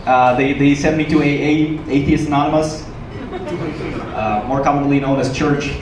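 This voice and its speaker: A man from Ukraine performing stand-up comedy to a live audience with a dry, joking delivery and hesitant pauses. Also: mid-range voice, fairly even intonation. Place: small comedy club stage, live audience. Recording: roomy, noisy club recording from a distance.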